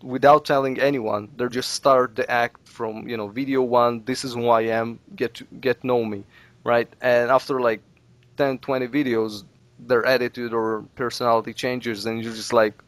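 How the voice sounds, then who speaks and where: A man whose first language is Russian talks earnestly about making gaming videos, dropping "you know" as he goes. His voice sits at an ordinary middle pitch. The recording is dry and close, with no room sound at all. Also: level tone, normal speed, dry recording. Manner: earnest, advice-giving, peppered with "you know". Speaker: Let's Play video maker. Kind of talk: casual podcast interview.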